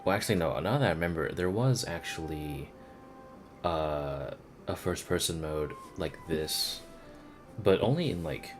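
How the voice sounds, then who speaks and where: Casting: male game streamer, lowish voice, highly varied intonation, casual reactive commentary, music behind. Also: unhurried. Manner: animated reactions, casual swearing.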